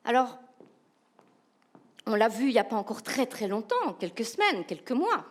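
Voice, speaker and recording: Lively Belgian woman giving a keynote. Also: fairly high pitch, moderate pace. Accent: Belgian French. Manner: animated, humorous, rhetorical questions to the audience. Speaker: keynote speaker, professional coach.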